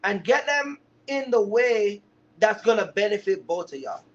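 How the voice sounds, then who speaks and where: A man's voice: sits high, lively intonation, unhurried. A man hosting a casual livestream, teaching viewers in an emphatic way and often checking that they follow. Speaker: livestream host, trucking business mentor. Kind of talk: casual live chat to viewers. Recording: dull, lo-fi live stream audio.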